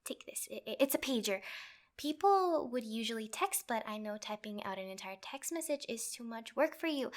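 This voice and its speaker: Woman acting a high-voiced, flustered yandere girlfriend. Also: fairly bright tone. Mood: tender, doting. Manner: flustered, halting stammers, giggly, rambling. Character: nervous, sweetly clingy yandere girlfriend, flustered and possessive. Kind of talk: girlfriend roleplay audio, comedic.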